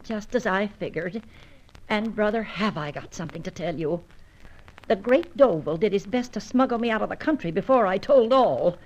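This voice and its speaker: A woman's voice: mid-range voice, lively intonation, moderate pace, darkish tone, natural voice. An actress playing a plucky, flirtatious American woman with slangy, wisecracking talk in a radio adventure serial. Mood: grateful, feisty. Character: brassy, plucky, flirtatious American dame in distress. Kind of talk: vintage-style radio adventure serial acting. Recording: faintly noisy old radio recording.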